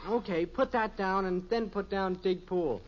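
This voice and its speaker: An actor playing a lazy, easygoing American teenage son in a 1950s radio sitcom, boyish and guileless with wisecracks. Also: male voice, high-pitched, unhurried. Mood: reluctant, drowsy. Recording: muffled, noisy old radio recording.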